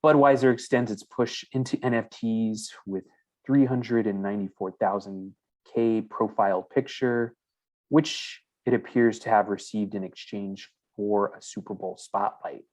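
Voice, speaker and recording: A man's voice: on the low side, a little mellow. A man hosting a crypto news show, reading stories aloud and adding chatty asides in a casual, conversational way.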